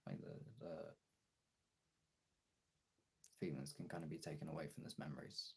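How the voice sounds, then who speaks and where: A man with a gravelly, husky voice in a casual, personal chat to viewers, halting and candid, swearing often. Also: extremely low pitch, fairly even intonation. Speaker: streamer.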